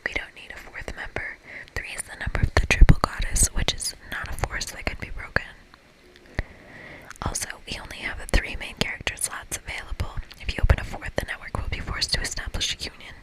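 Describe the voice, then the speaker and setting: An ASMR reader voicing a dry, exasperated female coven leader from a game in a breathy whisper. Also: fairly quick, lightly acted, low-quality recording. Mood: exasperated, curt. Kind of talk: visual novel game dialogue read as ASMR.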